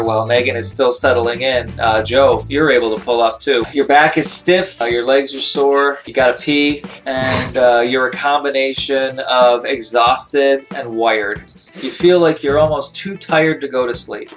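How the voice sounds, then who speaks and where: A man's voice: medium pitch, fairly even intonation, measured pace, fairly bright tone, faint music behind. A man speaking as game master, narrating a tabletop roleplaying game on a video call. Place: home room, online video call.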